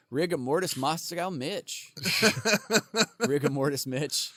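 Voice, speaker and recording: A man with a slightly higher voice that swings up and down a lot as he jokes around with his co-host. He riffs goofily and laughs often, close to a dry studio mic. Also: animated, slow, a bit bright, dry recording. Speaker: podcast co-host. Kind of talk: casual comedic banter.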